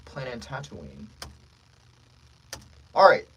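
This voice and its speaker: Male livestream host, casual and playful, highly animated pitch swings, jokey rapport with chat. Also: takes it slowly, breathy edge, dull audio. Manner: playful, joking with viewers.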